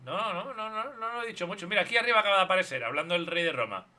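Bright-voiced Spanish streamer, blunt and mocking. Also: male voice, high-pitched, expressive, very fast. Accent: Spain Spanish. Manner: blunt, mocking, talks over the video. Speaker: streamer reacting to a video. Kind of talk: live stream reaction commentary. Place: home streaming room.

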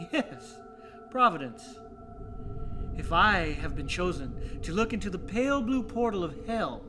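Slow, brooding young madman. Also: on the high side. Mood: fervent, resolved. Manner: brooding, deliberate dramatic delivery. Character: obsessed, brooding young madman, secretly murderous. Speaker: radio drama actor. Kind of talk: theatrical gothic radio drama narration.

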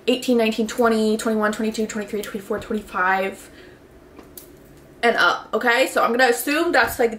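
A woman's voice: fairly high pitch, fairly bright tone. A young woman in her twenties chatting casually to camera in her bedroom, reading out viewer messages and giving advice, swearing offhandedly and saying "like" a lot. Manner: bubbly, swears casually, reads messages aloud, lots of "like". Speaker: vlogger answering viewer submissions. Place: bedroom, indoors. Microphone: camera in front, seated. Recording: echoey bedroom.

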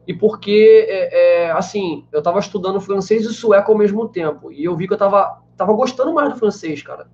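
A man's voice: high-pitched, a little mellow, a little hiss or hum. Chatty young man on a lo-fi webcam. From Brazil. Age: 20s. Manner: chatty, many fillers like "né" and "cara". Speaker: language teacher answering live chat. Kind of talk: casual live-stream Q&A. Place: small room indoors with a banner behind. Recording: slightly dull lo-fi webcam sound.

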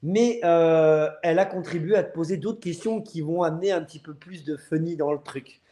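A man in his thirties hosting a casual live chat over a video call, speaking earnestly and haltingly. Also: fairly high pitch, mellow. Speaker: live show host. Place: room at home. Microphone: earphone mic on video call. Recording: roomy, echoing video-call audio.

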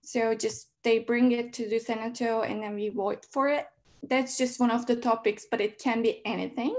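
A young woman from Turkey speaking English as a second language, presenting in an online meeting and pausing often with fillers. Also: on the high side, steady tone. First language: Turkish. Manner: halting non-native English with many fillers. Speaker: graduate student senator. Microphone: laptop mic on a video call.

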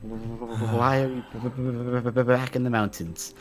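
A man's voice: medium pitch, huge pitch swings, a little fast, a little hiss or hum. A man playing a playful, sarcastic and easily flustered adventurer in a video game roleplay. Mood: baffled, sarcastic. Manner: sarcastic, comic. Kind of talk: improvised Minecraft roleplay.

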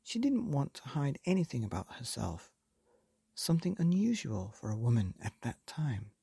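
A man hosting a bedtime story podcast, reading aloud in a soft, breathy half-whisper that lilts gently as he goes. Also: mid-range voice, very animated, no echo, clean studio sound. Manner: slow, gentle and lilting.

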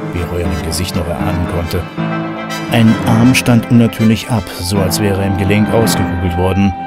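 A man delivering scripted narration for a horror audio drama. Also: on the low side. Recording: loud music under the voice.

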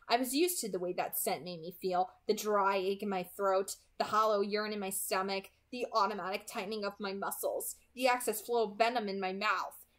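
Young woman reading a novel aloud. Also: mid-range voice. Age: 20s. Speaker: amateur book reader. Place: small room at home, indoors.

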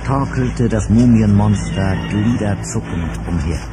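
Male narrator, fairly deep, scripted dramatic storytelling for a kids' radio play, clear music bed. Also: a little slow.

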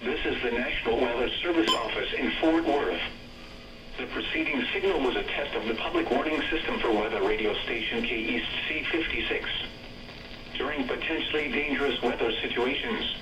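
Male text-to-speech voice, automated weather broadcast, clipped synthetic reading, heard from a distance. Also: mid-range voice. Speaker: weather radio announcer. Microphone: camera pointed at a weather radio receiver. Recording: radio speaker recorded from across an echoey room.

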